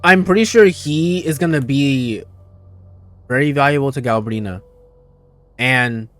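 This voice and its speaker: A man's voice: medium pitch, measured pace, fairly bright tone. Rambling, playful man streaming a game. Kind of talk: casual live playthrough commentary. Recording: live stream with faint game music.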